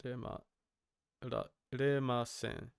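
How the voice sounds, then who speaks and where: Casual male streamer with clear, dry sound. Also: mid-range voice, little pitch movement, slow pace, dry recording. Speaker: streamer reacting to a video. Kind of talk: casual live reaction commentary.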